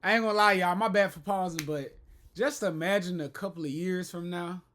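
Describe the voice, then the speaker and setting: Hyped, slangy reaction host. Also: male voice, high-pitched, fairly quick, fairly bright tone, slight background noise. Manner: slangy, hyped, repeats "man" and "I ain't gonna lie". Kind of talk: casual reaction commentary.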